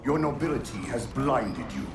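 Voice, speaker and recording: An actor playing an ancient, vengeful alien warlord in a video game, speaking in grandiose, contemptuous pronouncements. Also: male voice, mid-range voice, sing-song, normal speed, music in the background, close to an everyday voice. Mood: menacing contempt. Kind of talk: dramatic video game villain acting. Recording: heavy cavernous echo, distant, loud score behind.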